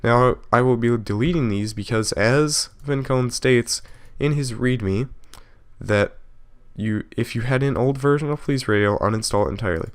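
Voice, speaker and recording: A man narrating a software tutorial casually, explaining step by step in an informal way and correcting himself as he goes. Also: mid-range voice, moderate pace, smooth. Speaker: video tutorial host.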